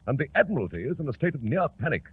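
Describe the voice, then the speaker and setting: An actor playing a stately, self-important British government official in formal 1940s radio drama style. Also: male voice, mid-range voice, very animated, rapid-fire. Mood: grave concern. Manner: formal, weighty, pompous. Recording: scratchy 1940s radio broadcast, narrow band.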